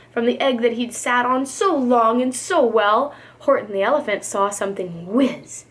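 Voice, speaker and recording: High-voiced woman reading a storybook. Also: fairly bright tone. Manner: emphatic, rhythmic rhyming delivery. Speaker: preschool teacher reading aloud. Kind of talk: expressive storybook narration.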